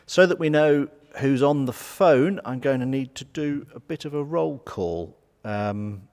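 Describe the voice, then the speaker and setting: A man's voice: lowish voice, a little mellow. A man chairing a church meeting, giving chatty, informal notices with many ums and asides to a congregation in a large stone church. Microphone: far fixed camera view. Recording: long church reverb.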